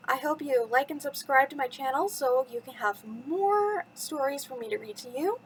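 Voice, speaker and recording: Storytime host: woman, twenties, very high voice, cheerful and addressing kids, slight noise behind her. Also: normal speed, low-quality recording. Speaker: host reading bedtime stories to children. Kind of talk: cheerful storytime talk and expressive story reading.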